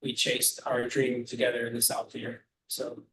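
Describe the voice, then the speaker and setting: A man from Albania, a personal trainer and gym co-owner, talking in a relaxed, rambling way. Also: medium pitch, moderate pace, low-quality recording. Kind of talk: casual interview talk.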